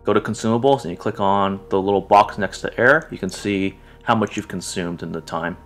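A man's voice: on the low side, steady tone, fairly quick, a bit bright. A man running a tabletop game, casually explaining to players what to do. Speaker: game master. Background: music.

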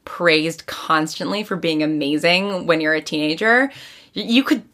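A woman's voice: low voice, a little slow, a bit bright. A woman guest on a podcast, chatting casually about fiction in an informal way, saying "like" often. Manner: informal, peppered with "like".

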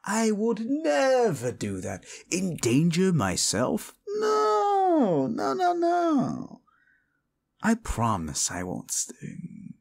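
A man's voice: sits high, wildly swooping pitch, drawn-out words, gravelly, voice pushed up, heavily acted. A man voicing a sly fable scorpion, wheedling and over-insistent in his pleading. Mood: pleading insincerely.

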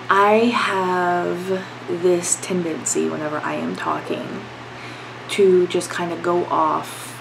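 A woman's voice: lowish voice, creaky, noisy background. A young woman vlogging from her bedroom, congested from a cold, talking candidly with lots of fillers. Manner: rambling, filler-heavy, candid. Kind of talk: casual talk to camera. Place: bedroom at home, indoors.

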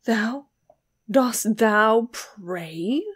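A woman's voice: medium pitch, steady tone, a little slow, a little mellow, close to an everyday voice. A woman reading an audiobook, voicing an enraged, scornful young man with bitter, archaic, declamatory speech. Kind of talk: dramatic literary audiobook reading.

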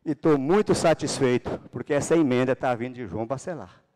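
A man's voice: medium pitch, a little fast, a little mellow. A middle-aged man from Paraná, Brazil, a city councilman giving a fervent, religious political speech on a handheld microphone in a large council chamber. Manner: fervent, religious, rising to emphatic bursts.

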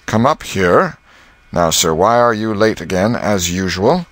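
A man's voice: fairly low pitch, sing-song, unhurried, fairly bright tone, dry recording, voice pushed up. Storybook schoolmaster, played by a male reader: stern, pompous, formal, indignant authority.

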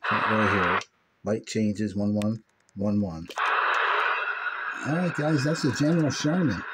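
A man's voice: fairly low pitch, unhurried. A man giving a casual, folksy hands-on demo, talking informally to viewers as he handles a radio. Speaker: CB radio owner demonstrating a set. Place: indoors, small room at home. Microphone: handheld phone filming the radio. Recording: noisy phone-video audio with radio hiss. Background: clear noise and CB radio sound.

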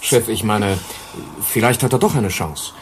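A man's voice: lowish voice, a little slow, a little hiss or hum, close to an everyday voice. A man playing an anxious, deferential subordinate at a Venus mining base in a German radio drama. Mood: worried.

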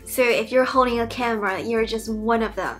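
A woman's voice: fairly high pitch, fairly even intonation, brisk, faint music behind. A young woman with a Mandarin Chinese accent, talking through a Q&A in a casual, earnest way and often restarting her sentences. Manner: chatty, earnest, with frequent restarts. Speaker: YouTuber and PhD student. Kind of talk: casual Q&A vlog talk. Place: bedroom, indoors.

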